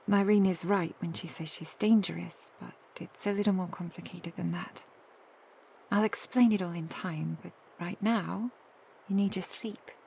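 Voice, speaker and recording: A woman voicing a protective, tender vampire girlfriend in an ASMR roleplay, soft, intimate and gently teasing. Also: on the low side, creaky, slight background noise, low-quality recording. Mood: soothing, teasing.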